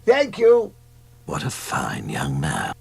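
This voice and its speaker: A man voicing a genteel, wheedling elderly gentleman with posh, old-fashioned politeness in a comedy audio story. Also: a bass voice, huge pitch swings, slow pace, creaky, very noisy, pitched below the performer's own voice, full character voice.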